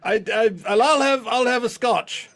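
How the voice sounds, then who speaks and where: A man playing an excitable, dithering bystander in a live radio drama parody, hesitant and repeating himself. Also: extremely high pitch, moderate pace. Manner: hesitant, repetitive, ad-libbing. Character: excitable, dithering neighbor butting into detective scene.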